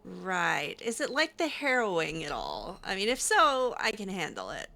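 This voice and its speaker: A woman's voice: medium pitch, wildly swooping pitch, moderate pace, creaky, pushed and bright. A woman improvising in character during a game playthrough, talking casually and hesitantly with dry sarcasm. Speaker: gaming host role-playing her character.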